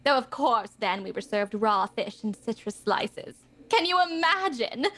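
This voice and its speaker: A woman's voice: a little high, very animated, a little slow, fairly bright tone, exaggerated voice. An actress playing a haughty, worldly society woman in a 1920s radio mystery, speaking in an arch, affected way. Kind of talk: 1920s radio drama acting.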